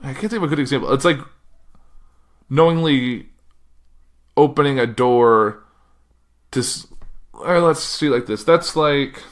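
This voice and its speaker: A man's voice: mid-range voice, measured pace, long pauses. Male solo podcaster, mid-range voice, casual and rambling, works out thoughts aloud and drops sentences halfway. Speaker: podcast host.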